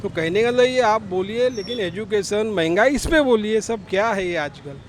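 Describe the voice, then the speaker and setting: A high-pitched man with a Mumbai accent talks in an indignant, everyday colloquial way. He is being interviewed on the street and challenges his targets directly, over light traffic noise. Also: animated, moderate pace. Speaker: local resident interviewed on the street. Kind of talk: street interview, opinion on politics. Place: outdoors on an urban street.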